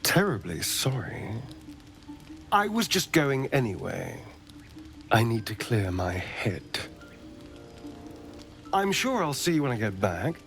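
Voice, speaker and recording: A man's voice: mid-range voice, big pitch swings, slow pace, gravelly, long pauses, fairly bright tone, faint music behind, full character voice. An actor voicing a sly, aloof male noble in a video game, drawling and dismissive. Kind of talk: video game cutscene dialogue.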